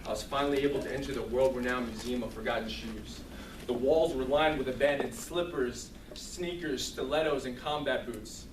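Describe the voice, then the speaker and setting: A man giving a humorous storytelling speech to an audience in a theatrical, deliberate comic manner. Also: medium pitch. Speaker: speech contest contestant. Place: room with an audience. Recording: roomy, distant mic, clearly noisy.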